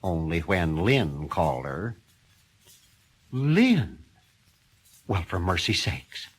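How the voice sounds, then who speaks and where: A man's voice: sits low, sing-song, slow, husky, voice pushed down, full character voice. A man voicing a nosy, flustered older country woman who talks in a fussy, folksy, exclaiming way. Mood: astonished.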